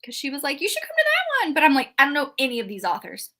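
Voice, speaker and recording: Bright, lively woman chatting about books. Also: sits high, fast. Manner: chatty and animated, lots of "like" and "um". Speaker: co-host of a book readalong live show. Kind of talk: casual chat between friends.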